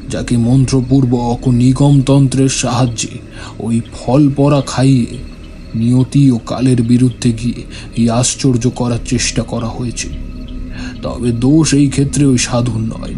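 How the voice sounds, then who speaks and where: A man's voice: medium pitch, little pitch movement, a little slow, dark tone, soft background music, natural voice. A man playing a grave, solemn village priest and occult healer in an audio horror drama. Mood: grave, reproving.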